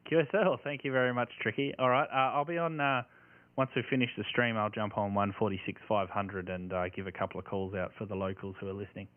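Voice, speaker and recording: A man's voice: fairly low pitch, steady tone, quick pace, no echo. An Australian man working as an amateur radio operator, making calls and chatting casually on a livestream. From Tasmania, Australia. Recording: tinny band-limited audio with loud radio hiss. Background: radio static and hiss.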